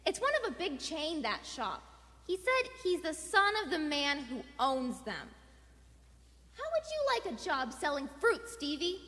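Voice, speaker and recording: Actress as sweet chatty lady, bright high voice, indulgent, echoey stage radio drama. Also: very animated, measured pace, full character voice. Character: gentle, trusting grown woman chatting with a boy. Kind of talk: live radio play acting. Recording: echoey theater hall.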